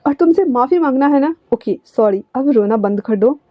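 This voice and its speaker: A woman's voice: sits high, animated, normal speed, no echo, dull audio. A woman voicing a stern, irritated young husband who scolds curtly in a storybook reading. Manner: curt, scolding.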